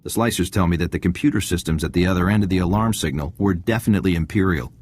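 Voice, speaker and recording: An actor playing a confident, wry military intelligence agent giving a mission briefing in a video game. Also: male voice, sits low, fast talker, darkish tone, slightly rough. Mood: assured briefing. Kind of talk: video game cutscene dialogue.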